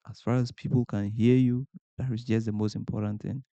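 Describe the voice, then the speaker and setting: A man's voice: fairly low pitch, level tone, a little fast, warm tone, no room sound, dull audio. A man from Ghana with a Ghanaian accent, hosting a podcast and giving earnest motivational advice to camera, asking rhetorical questions and repeating points for emphasis. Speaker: podcast host.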